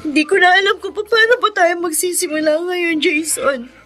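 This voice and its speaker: Desperate young elder sister, very high-pitched, piercing bright voice, emotional pleading, Filipino radio drama. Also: female voice, normal speed, soft background music. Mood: despairing. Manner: emotional, tearful, pleading delivery.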